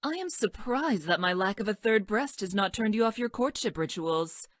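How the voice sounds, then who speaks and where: A woman voicing a stranded time-traveling scientist in a video game, clinical and detached, speaking in stilted, formal, technical language with a deadpan delivery. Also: mid-range voice, normal speed, no room sound, clean studio sound, lightly acted. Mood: clinical fondness. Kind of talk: scripted video game character lines.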